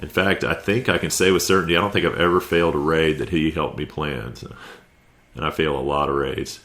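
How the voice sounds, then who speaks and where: A man's voice: a bass voice, steady tone, a little fast, fairly bright tone. A man with a stuffy head cold giving laid-back, conversational play-by-play commentary over a video game recap. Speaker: clan war recap commentator.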